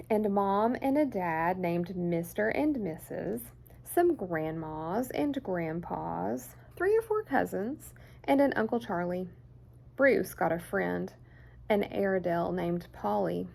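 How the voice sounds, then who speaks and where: A woman reads a picture book aloud for children. Her pace is slow and deliberate, and her expressive voice carries a noticeable creak. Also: medium pitch. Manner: expressive, deliberate storyteller phrasing. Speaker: children's story time host.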